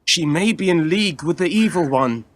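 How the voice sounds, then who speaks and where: An actor playing a dutiful, wary young son of a lord in a radio play, with formal archaic speech. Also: male voice, fairly high pitch, expressive, moderate pace, close to an everyday voice. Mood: uneasy.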